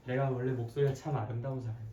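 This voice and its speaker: A math teacher with a hoarse voice giving an interactive classroom lecture, playful and conversational, often posing questions to students. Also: mid-range voice, fairly even intonation, rapid-fire, lo-fi sound, crowd noise. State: hoarse, frequent throat clearing. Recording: echoey, distant mic, background noise.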